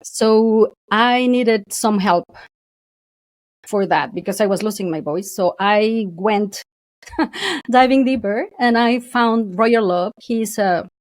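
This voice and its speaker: A woman's voice: mid-range voice, slow, no echo. A woman voice coach, a guest on a podcast, telling her story candidly. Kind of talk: interview.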